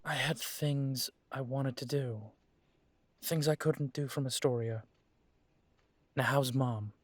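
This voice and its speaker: A young man playing a restless grown son coming home, halting at first and then pressing. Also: mid-range voice, fairly even intonation, dry recording. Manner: hesitant, then pressing and insistent.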